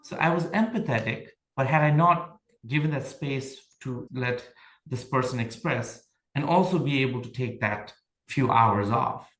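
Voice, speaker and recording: A man's voice: mid-range voice, measured pace, fairly bright tone. Man in an echoey room. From Nepal. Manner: reflective, tells workplace stories, often checks in with "right?". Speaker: podcast guest, HR leader. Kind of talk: casual conversation.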